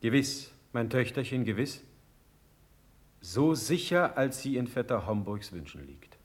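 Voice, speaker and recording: An actor playing a dignified, fatherly older ruler in a classical radio drama, with deliberate, declamatory delivery. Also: medium pitch, lively intonation, slight background noise, lightly acted. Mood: gentle, reassuring. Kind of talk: classical German verse radio drama acting.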